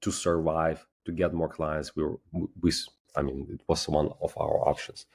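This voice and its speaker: A man from Ukraine with a Ukrainian accent, a guest on a casual podcast interview, speaking haltingly and often restarting his words, with self-deprecating humor. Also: rumbling low, little pitch movement, darkish tone, husky. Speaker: guest, agency founder.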